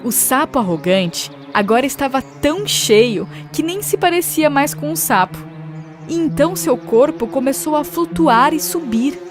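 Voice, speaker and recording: High-voiced Brazilian woman storyteller over music. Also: expressive, moderate pace. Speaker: narrator. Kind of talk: scripted children's story narration. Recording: music behind narration.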